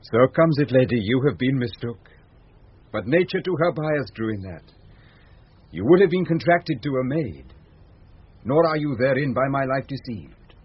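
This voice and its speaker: An ardent young nobleman in radio Shakespeare, British accent and very breathy, husky voice. He declaims his verse with feeling and wide swings of pitch. The broadcast recording is old and muffled. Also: expressive, moderate pace. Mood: gentle. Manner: emotional, declamatory verse delivery. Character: young gentleman, ardent twin brother thought drowned.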